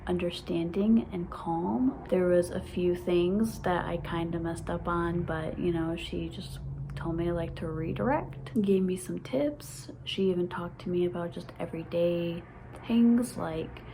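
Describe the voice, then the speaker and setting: Young woman vlogger in her twenties with a stutter, casual and excited, light room noise. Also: fairly low pitch, a little slow, creaky, rough recording. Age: 20s. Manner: stutters, with repeated sounds and restarts; excited, laughs often. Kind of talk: casual vlog chat.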